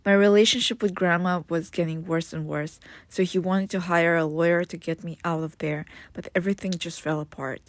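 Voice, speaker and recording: Low-voiced woman reading her memoir. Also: steady tone, a little fast, few pauses, no room sound. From Israel. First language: Hebrew. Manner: earnest, reflective reading with occasional restarts. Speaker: memoir author reading her own book. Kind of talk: first-person memoir narration.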